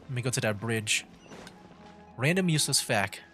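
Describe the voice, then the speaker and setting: A man's voice: mid-range voice, animated, normal speed, bright, forceful tone, soft background music. A man hosting a game livestream with casual commentary, musing aloud in a rambling way. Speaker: livestream gamer host.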